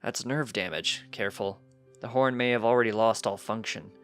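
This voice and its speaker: A man voicing a frank pony doctor in a story reading, measured, solemn and plain-spoken. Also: on the low side, steady tone, a bit bright, faint music behind. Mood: grave. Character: grave, frank pony doctor delivering bad news.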